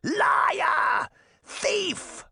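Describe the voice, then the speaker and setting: A man acts out an old storybook hag, enraged and full of menace. The voice leaps very high into drawn-out shrieks and screamed accusations, swooping wildly. The sound is close and dry. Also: sing-song, creaky, bright, forceful tone, dry recording, full character voice. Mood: furious shrieking.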